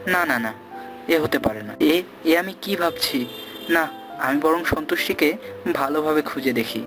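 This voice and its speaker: Male actor as young cartoon prince, lovesick, desperate, melodramatic, halting repeated words, music behind. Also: a little high, a bit bright, lightly acted. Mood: distressed, searching. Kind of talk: dramatic cartoon story acting.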